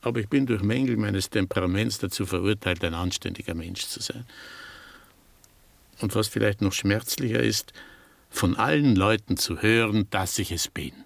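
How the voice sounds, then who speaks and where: An actor playing a sardonic, disillusioned doctor in a German radio drama, speaking in a dry, articulate, ironic way. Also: male voice, fairly low pitch, moderate pace, vocal fry, no room sound. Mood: bitter irony.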